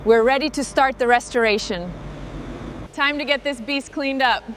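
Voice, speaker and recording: A woman's voice: high voice, moderate pace, slight background noise, low-quality recording. A woman hosting a vehicle restoration video, speaking short scripted commentary on the work as it goes.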